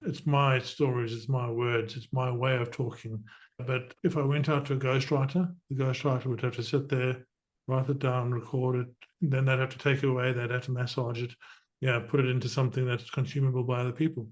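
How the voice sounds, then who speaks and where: A middle-aged man from southern Africa who hosts a podcast, explaining things with energy and quick asides. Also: mid-range voice, level tone. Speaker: podcast host. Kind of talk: conversational interview.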